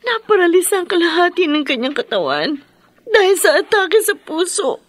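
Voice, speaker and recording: A woman's voice: very high voice, big pitch swings, normal speed, no echo. A woman playing an anxious young wife and dutiful daughter in a horror radio drama, with emotional, tremulous delivery. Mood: anxious, distressed.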